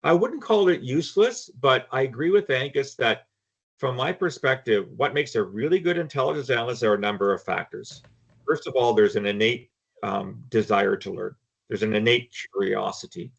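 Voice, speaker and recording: A man's voice: mid-range voice, normal speed, creaky, husky, highs cut off. An older man, speaking frankly and emphatically as a guest in a casual panel discussion. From Canada. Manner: emphatic, frank, repeats words when starting. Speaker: guest, former intelligence analyst.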